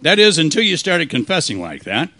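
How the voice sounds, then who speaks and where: A man playing a wisecracking, deadpan private detective in an old-time radio style play. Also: on the high side, a little fast, exaggerated voice. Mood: wry. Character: wisecracking hardboiled male private detective narrating his case.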